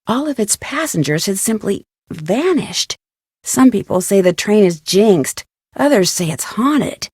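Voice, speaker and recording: Game heroine: curious young woman detective, plucky and earnest, mid-pitched, very dry studio sound. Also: big pitch swings, a little slow, darkish tone, breathy edge, no echo. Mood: excited, slightly uneasy. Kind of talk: scripted video game dialogue.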